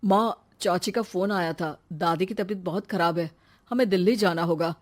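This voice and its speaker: A woman voicing a teasing young married man in an animated family story, with a dry manner. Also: on the low side, normal speed, lightly acted. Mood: worried.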